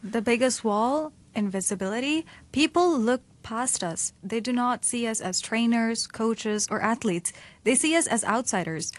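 A woman's voice: fairly high pitch, unhurried. A woman co-hosting a podcast, from Hong Kong, speaking in short, emphatic phrases. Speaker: podcast co-host. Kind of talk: interview hosting.

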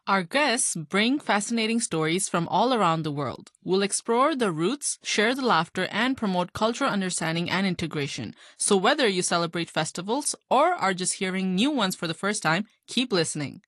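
A woman's voice: medium pitch, expressive, normal speed, hardly any pauses, fairly bright tone, dry recording, clean studio sound. A woman hosting a radio programme, introducing the show and questioning a guest. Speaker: radio host. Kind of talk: hosted radio talk introduction.

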